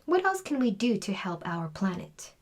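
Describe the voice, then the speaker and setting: A woman's voice: mid-range voice, very animated, moderate pace. A woman reading a storybook, voicing a kindly schoolteacher who encourages her class. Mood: encouraging. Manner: encouraging, addressing a class of children.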